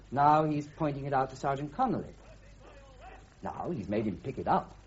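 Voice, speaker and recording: A man's voice: medium pitch, big pitch swings, normal speed, lightly acted. A man playing an outspoken, sardonic British army officer and loyal friend, with an English accent, in a radio drama. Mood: amused, mocking. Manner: sardonic, frank. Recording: muffled radio recording with background noise.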